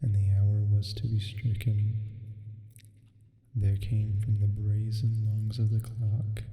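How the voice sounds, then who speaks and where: A demon performed by a man reads a gothic tale in a hushed, very dark voice. The delivery is measured and nearly monotone, with long pauses between phrases. Long, cavernous reverb trails each word. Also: fairly low pitch. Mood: hushed, ominous. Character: male sleep paralysis demon reading a bedtime horror tale. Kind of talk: slow ASMR reading of gothic fiction. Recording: heavily reverberant, echoing voice.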